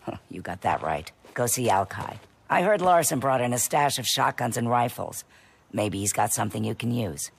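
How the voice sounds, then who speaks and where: A male actor playing a hard-driving, short-tempered camp leader in a video game, commanding and impatient, with a gravelly creak. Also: medium pitch, animated, normal speed, slight background noise. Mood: brisk, commanding. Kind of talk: video game cutscene acting.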